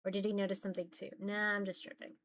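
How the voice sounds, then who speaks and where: A woman's voice: mid-range voice, flat delivery, quick pace, a bit bright, slight background noise. A woman streamer reading a visual novel aloud as narration and commenting casually as she plays.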